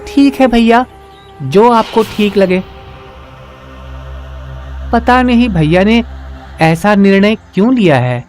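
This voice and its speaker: A woman's voice: mid-range voice, expressive, slow, pauses often, a little mellow, soft background music. A woman voicing a humble, kind young village farmer man in a cartoon story, earnest and respectful. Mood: worried, resigned.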